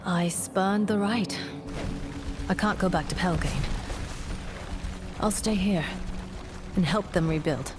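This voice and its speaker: A woman's voice: lowish voice, takes it slowly, long pauses. An actress playing a resolute, loyal exiled woman warrior in a video game, speaking earnestly and firmly over music. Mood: earnest, resolved. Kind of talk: dramatic video game dialogue.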